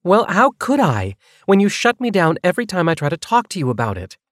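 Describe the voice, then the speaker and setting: An earnest young woman in an audiobook story as a man acts her out, with a raised, lighter pitch. She speaks directly and insistently, ready to challenge whoever she faces. The sound is dry. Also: wildly swooping pitch, a little fast, no room sound. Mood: forceful, frustrated.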